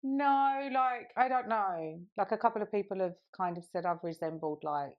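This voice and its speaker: A woman's voice: medium pitch, normal speed, lo-fi sound. A woman in her thirties co-hosting a podcast, telling a rambling story in casual chat and laughing often. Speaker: podcast co-host.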